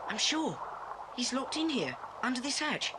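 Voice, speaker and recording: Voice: sits high, very animated, a little slow. An actor playing an eager, sensible British schoolboy in a children's audio adventure drama. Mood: hushed, eager. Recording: noisy old cassette story tape.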